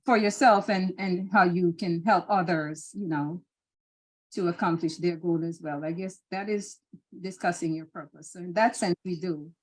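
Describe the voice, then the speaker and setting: A woman's voice: lowish voice, poor audio. A middle-aged Jamaican woman with a Jamaican accent, answering interview questions in a thoughtful, hesitant way with frequent restarts and fillers. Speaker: interview guest.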